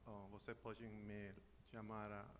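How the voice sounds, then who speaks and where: A man from Peru with a Peruvian Spanish accent, Spanish his first language, giving a halting personal testimony in church. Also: on the low side, completely flat delivery, a bit bright. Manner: halting, searching for words. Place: church hall. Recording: reverberant hall with faint noise.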